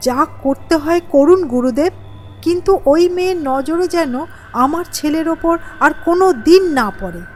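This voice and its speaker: A woman voicing an anxious, insistent, protective mother in an animated horror story. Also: sits high, moderate pace, soft background music.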